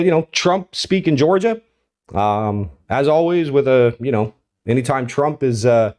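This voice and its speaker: A man's voice: mid-range voice, darkish tone. An American man hosting an unscripted political commentary, talking informally and in a rambling way, often checking in with his listeners. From United States. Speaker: host of a political commentary show. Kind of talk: unscripted opinion commentary to viewers.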